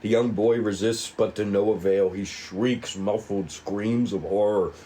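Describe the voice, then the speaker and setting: Slow, low-voiced man narrating a script. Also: steady tone, warm tone, a little hiss or hum, rough recording. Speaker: writer and narrator of a table read. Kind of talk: scripted narration of screen directions.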